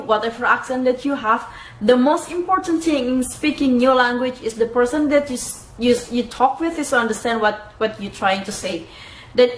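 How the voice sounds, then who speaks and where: A young woman from Indonesia giving casual vlog tips, chatty and laughing at herself as she switches between two languages. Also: fairly high pitch. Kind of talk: casual vlog talk to camera. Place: home room in Dublin. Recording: echoey room, faint background noise.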